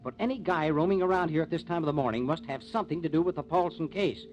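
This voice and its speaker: An actor playing a brisk, inquisitive and level-headed newspaper reporter, in 1950s radio drama style. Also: male voice, on the high side, a little mellow, faint music behind, close to an everyday voice. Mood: brisk, probing. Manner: brisk, probing. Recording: muffled, noisy old radio recording.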